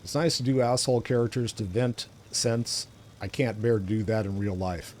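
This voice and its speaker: A man's voice: fairly low pitch, steady tone, normal speed, darkish tone, slight background noise. A man co-hosting a gaming show, chatting casually and thinking aloud as he plays. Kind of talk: casual gameplay chat.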